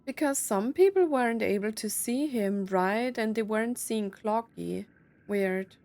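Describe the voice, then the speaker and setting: A woman's voice: fairly high pitch, unhurried, darkish tone. A woman giving casual gameplay commentary, puzzling things out aloud as she plays. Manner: musing, puzzled, thinking aloud. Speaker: video game streamer. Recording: game music behind voice.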